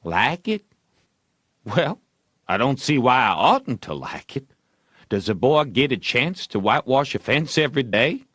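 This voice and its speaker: A man's voice: medium pitch, big pitch swings, fairly bright tone, husky, no echo, studio quality, pitched above the performer's own voice, heavily acted. A man voicing a sly young boy who feigns carelessness and reluctance in folksy dialect, for an audiobook. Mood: feigned nonchalance. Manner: folksy drawn-out boyish dialect, coy. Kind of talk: audiobook character voice.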